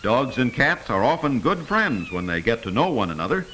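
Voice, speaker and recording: A man delivers earnest vintage educational film narration in a bright, forward voice. His pitch swings expressively as he tells things simply for children. The old 1950s soundtrack carries some noise. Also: sing-song, moderate pace, poor audio.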